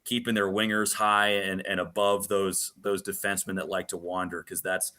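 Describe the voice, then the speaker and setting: A man with a slightly low, bright and forceful voice works as a sports announcer. He is a guest on a radio show, laying out detailed analysis with emphasis. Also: level tone, normal speed.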